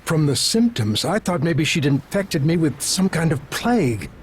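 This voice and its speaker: Earnest, higher-voiced worried doctor. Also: male voice, big pitch swings, moderate pace, slight background noise. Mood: troubled, earnest. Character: earnest town doctor and worried father, middle-aged man. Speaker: voice actor. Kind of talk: video game cutscene acting.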